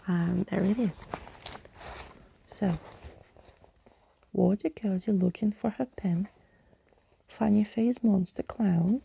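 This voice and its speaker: A woman reading a bedtime story in a soft ASMR whisper, close to the microphone. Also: medium pitch, slow, gravelly, pauses often, slight background noise, rough recording. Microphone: close microphone, dry.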